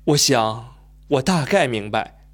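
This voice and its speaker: A man's voice: mid-range voice, animated, slow. An actor playing a thoughtful, inquisitive young man who questions his elder in a radio drama. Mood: curious.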